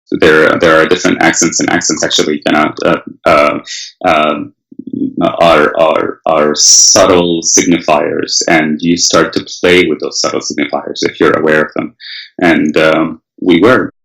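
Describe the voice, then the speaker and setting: A man's voice: very deep voice, flat delivery, measured pace, gravelly, fairly bright tone, low-quality recording. A man who grew up in Canada and Lebanon, talking in a casual and hesitant way with many fillers and restarts. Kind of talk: casual conversation.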